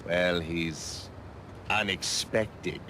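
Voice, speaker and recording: Menacing rival, deep voice, slow condescending delivery, formal, video game, vehicle noise behind. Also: male voice. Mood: smug disdain. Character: haughty, menacing rival villain, disdainful and formal. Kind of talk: video game villain acting.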